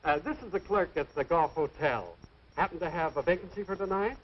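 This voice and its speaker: An actor playing a fussy, apologetic hotel clerk in an old-time radio comedy. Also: male voice, on the high side, brisk, natural voice. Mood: eager, obliging. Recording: dull, noisy old radio recording.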